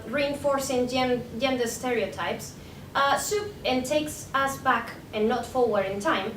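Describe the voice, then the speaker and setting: High-voiced woman, echoey room. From Spain. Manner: careful reading with frequent stumbles and self-corrections. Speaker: PhD candidate presenting a paper. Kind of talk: academic conference talk, read from a prepared script. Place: indoor room at an academic conference. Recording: echoey room, distant mic, faint rumbling noise.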